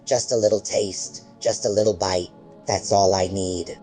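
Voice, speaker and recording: A man's voice: low-pitched, fairly even intonation, measured pace, mellow, soft background music, voice pushed down. Man voicing a gurgling predatory monster mother. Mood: menacing. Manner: gurgling hiss, menacing and possessive.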